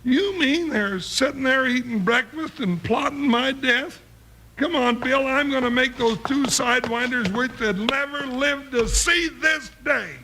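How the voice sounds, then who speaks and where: High-pitched, blustery cowboy sidekick. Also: male voice, measured pace, low-quality recording. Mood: flustered. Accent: American. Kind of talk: 1950s radio western acting. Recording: old radio recording with faint hiss.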